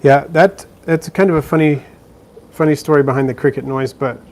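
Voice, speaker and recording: A man's voice: fairly high pitch, fairly even intonation, a little fast, a little mellow. A man casually answering viewer questions on a live stream, chatty and easygoing, with plenty of fillers and restarts, filming indoors by a terrarium. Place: indoors beside a frog terrarium.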